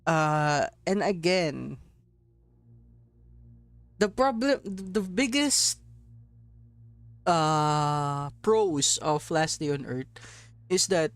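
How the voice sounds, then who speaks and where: A man's voice: on the high side, expressive, very slow, pauses often, clear, resonant tone, no echo. A man from Southeast Asia giving rambling, casual gameplay commentary, laughing often and swearing offhandedly. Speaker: mobile game streamer.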